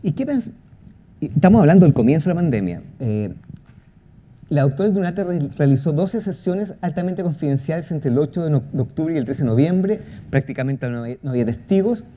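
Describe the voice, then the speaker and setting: A man explaining a project in a talk, halting, with false starts and drawn-out pauses. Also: on the high side, lively intonation, dark tone. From Chile. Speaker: podcast creator giving a presentation. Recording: muffled audio with clear background noise.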